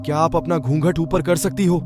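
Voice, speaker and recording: Young villager, male actor, curious and smitten, very high male voice, cartoon dialogue. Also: steady tone, a little fast, a little mellow, natural voice. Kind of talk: Hindi cartoon story acting. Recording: faint music behind.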